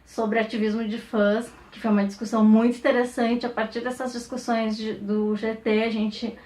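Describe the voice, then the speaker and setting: A woman's voice: fairly high pitch, level tone, normal speed, darkish tone. A woman with a Brazilian Portuguese accent, an academic speaking off the cuff in a conversational way, often tacking on small tag questions. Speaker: academic researcher and professor. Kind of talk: informal recorded testimonial, unscripted reflective talk.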